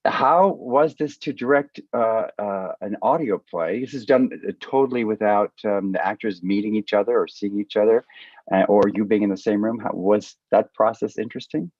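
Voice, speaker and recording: A man hosting a panel discussion over a video call, speaking haltingly and with appreciation for his guests. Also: on the low side, darkish tone, no room sound, lo-fi sound. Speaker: host and moderator of a panel.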